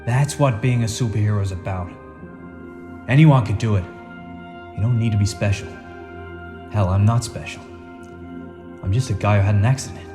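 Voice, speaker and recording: A man's voice: on the low side, normal speed, pauses often, lightly acted. A man playing an earnest, humble, plain-spoken superhero in a radio play. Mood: humble, rousing. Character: earnest, folksy male superhero, part shark, humble and noble. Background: music.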